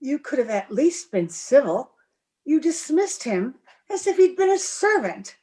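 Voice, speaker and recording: Woman acting high-voiced, slow-speaking young gentlewoman. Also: very animated. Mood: indignant, defiant. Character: earnest, defiant, smitten young gentlewoman. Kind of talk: old-time radio drama acting.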